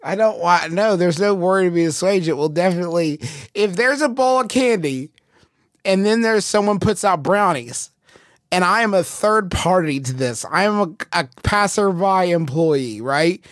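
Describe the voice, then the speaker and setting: A man's voice: high-pitched, expressive, normal speed, no room sound, studio quality. A man hosting a podcast, riffing emphatically in comedic, casual banter with mock exasperation. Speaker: podcast host.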